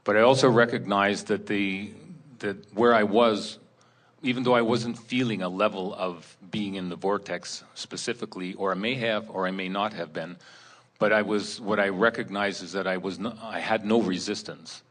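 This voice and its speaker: A man in the audience asking a question, halting and searching for his words. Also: on the low side, steady tone, fairly bright tone. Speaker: seminar participant asking a question.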